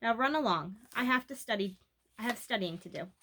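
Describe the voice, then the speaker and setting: A woman's voice: fairly high pitch, expressive, brisk, pushed and bright, slight background noise. A woman voicing a teasing, absent-minded but reassuring storybook father in a matter-of-fact way.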